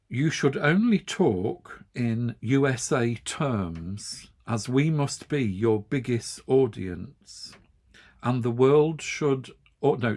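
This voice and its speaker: English man, middle-aged, English accent, wry casual chat, husky gravelly voice. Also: mid-range voice, a little mellow. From England. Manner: chatty, wry, chuckles often. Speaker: knitting channel host.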